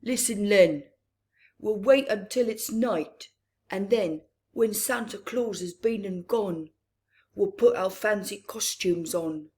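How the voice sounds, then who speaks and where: A woman's voice: mid-range voice, takes it slowly, a little mellow, lightly acted. A woman playing a sly male burglar in a storybook, speaking in a conspiratorial manner. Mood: scheming. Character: sly male burglar plotting a Christmas break-in.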